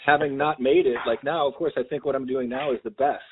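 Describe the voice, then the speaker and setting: A man's voice: mid-range voice, steady tone, quick pace, noisy background. A man in his fifties, a musician in casual podcast chat, rambling and candid and swearing freely. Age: 50s. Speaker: guest musician. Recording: tinny phone-like sound.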